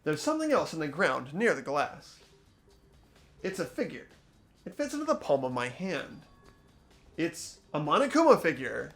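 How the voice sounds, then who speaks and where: Man playing an earnest, animated teenage boy detective. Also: a little high, normal speed, pauses often, soft background music, heavily acted. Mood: earnest, pondering. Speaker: player voicing a game protagonist. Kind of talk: voiced let's play, reading game script.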